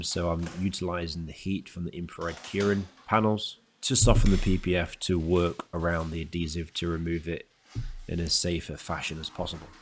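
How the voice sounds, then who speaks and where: A man with a cold, sounding nasal and congested, narrating his car detailing video in a methodical, explanatory way. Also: sits low. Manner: methodical, explanatory, lists steps one by one. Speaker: car detailer and channel host. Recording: voice-over with loud music underneath.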